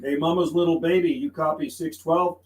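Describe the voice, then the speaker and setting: A man's voice: a little high, fairly even intonation, moderate pace. Guy doing casual cb radio chat, repeats himself, echoey room with a bit of noise. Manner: folksy, repeats phrases, radio slang. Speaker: CB radio operator and livestreamer. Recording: roomy sound with faint background noise.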